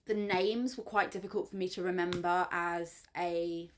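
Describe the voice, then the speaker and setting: A woman's voice: fairly low pitch, steady tone, normal speed. A woman hosting a book channel, giving a gushing, chatty spoken review with frequent ums and likes. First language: English.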